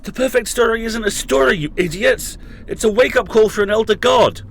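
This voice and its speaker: A man's voice: high-pitched, a little fast, gravelly. A man playing an imprisoned podcast host in an audio drama, intense and raging, pleading with his colleagues. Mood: grim. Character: imprisoned male podcast host, furious and desperate.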